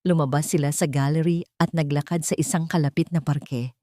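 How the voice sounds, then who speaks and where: Woman, lowish voice, scripted dramatic storytelling, dry close sound. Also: fairly even intonation, fairly quick, dark tone, no echo. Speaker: story narrator.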